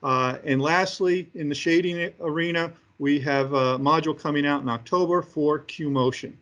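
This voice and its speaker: A man giving interview answers as a company executive presenting products, speaking haltingly with frequent hesitations between phrases. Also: a little high, a bit bright.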